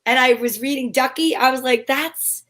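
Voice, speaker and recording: A woman's voice: high-pitched, moderate pace, fairly bright tone. A woman co-host chatting casually and informally on a show. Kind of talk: casual chat.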